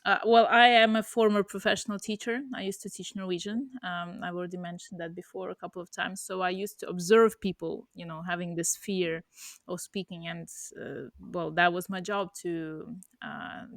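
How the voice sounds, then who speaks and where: A woman's voice: on the low side, fairly even intonation, normal speed, dry recording. A woman in her thirties, a native Russian speaker, musing casually as a podcast co-host, with many fillers and restarts. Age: 30s. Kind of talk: casual chat.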